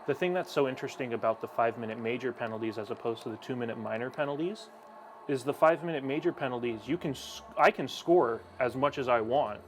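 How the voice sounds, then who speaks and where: A man's voice: mid-range voice, fast, noisy background. A young man who broadcasts hockey, chatting while gaming and then calling the action play-by-play.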